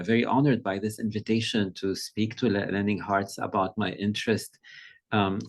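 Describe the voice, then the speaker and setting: A middle-aged man from Lebanon, a pediatric endocrinologist, giving an informal presentation over a video call in a measured, conversational way, often pausing to find his words. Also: fairly low pitch.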